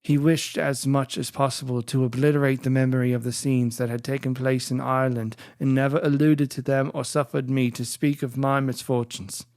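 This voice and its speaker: A narrator reading a literary story in a formal, measured, dramatic audiobook style. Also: medium pitch, little pitch movement, a little mellow, dry recording, studio quality.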